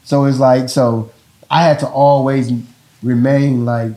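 A man with a deep, mellow voice talks easygoing and loose, circling back to his point often. His delivery is calm and conversational, like a dad explaining how he raises his kids. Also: measured pace, poor audio. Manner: relaxed, rambling, repeats "you know what I'm saying". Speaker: father in a couple interview. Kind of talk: casual talk about parenting.

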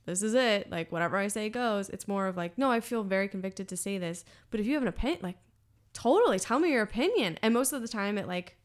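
A woman hosting a podcast from the United States, chatting casually, animated and emphatic, laughing often. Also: medium pitch, fast talker, few pauses. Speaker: podcast host. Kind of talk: casual conversation.

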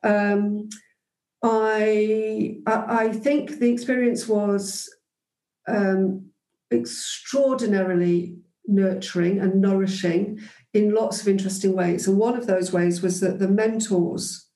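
A woman's voice: mid-range voice, steady tone, takes it slowly, warm tone, roomy sound. A woman guest in an interview, reflecting thoughtfully on her teaching days, with frequent ums and restarts. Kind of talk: reflective conversational storytelling.

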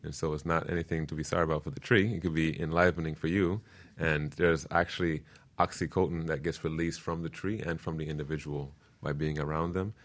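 A man's voice: very deep voice, fairly even intonation, a little fast, few pauses. A man speaking as a guest teacher on a radio interview, earnest and informative as he explains.

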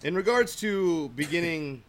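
A man hosting a casual interview in a friendly way, with halting phrasing as he puts his questions together. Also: on the high side, animated, crowd noise. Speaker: podcast host.

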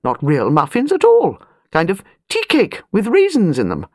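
Woman acting an elderly upper-class Englishwoman, imperious, chatty, clipped, animated pitch, storybook reading, dry close sound. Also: normal speed, no room sound, heavily acted. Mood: emphatic, exclaiming. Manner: clipped, dropped-subject, emphatic speech.

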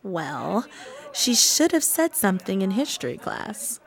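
A woman acting in a radio drama as an impatient, curious, catty high-school girl, sassy and expressive. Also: measured pace. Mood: gossipy, teasing.

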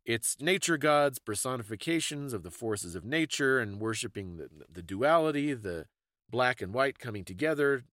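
A man's voice: medium pitch, fairly bright tone, dry recording, studio quality. A man hosting a podcast, explaining things in a casual, thoughtful conversational way. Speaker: podcast host.

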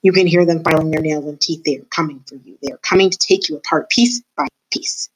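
Creepy old jailer, menacing, theatrical, lowish voice, read by a woman. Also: quick pace, lightly acted, low-quality recording. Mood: ominous. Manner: menacing, theatrical, speaks of himself by name. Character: old male dungeon jailer, creepy and self-important.

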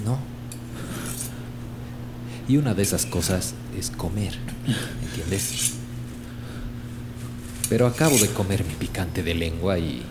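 A gluttonous, foul-mouthed Bolivian butcher who runs a gang in a radio play. His voice is low and he threatens with deliberate questions. Hum and dish clatter are clear behind him. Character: menacing crime-boss butcher, gluttonous, foul-mouthed man. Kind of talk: radio drama acting. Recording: clearly noisy background hum and clatter of dishes.

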